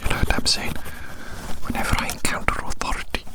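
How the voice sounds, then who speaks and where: Close-up whispering ASMR man. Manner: whispers throughout, repeats phrases slowly and reflectively. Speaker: ASMR video creator. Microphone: very close ASMR microphone. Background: scratchy drawing sounds.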